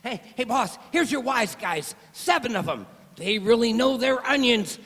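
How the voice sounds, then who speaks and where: An actor playing a fawning, excitable gangster henchman in a radio play, eager and slangy. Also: extremely high pitch, unhurried, full character voice. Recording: noisy hall with long reverb.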